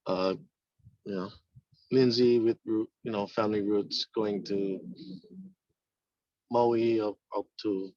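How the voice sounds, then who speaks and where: A man from Hawaii introducing himself on a video call, halting, with many ums. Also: on the low side, fairly even intonation, mellow, dry recording, lo-fi sound. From East Honolulu, Hawaii. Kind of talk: casual self-introduction on a call.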